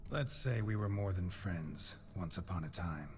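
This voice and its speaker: An actor playing a gruff, laconic monster hunter in a video game, speaking in a dry, deadpan, terse way. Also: male voice, deep voice, normal speed, a little hiss or hum. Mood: wistful, guarded. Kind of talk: video game character acting.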